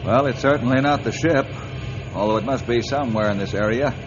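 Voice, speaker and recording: An actor playing a calm, courteous and resolute adventure hero in a 1950s radio drama. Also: male voice, mid-range voice, fairly quick, close to an everyday voice. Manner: steady, courteous. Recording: dull, noisy 1950s radio recording.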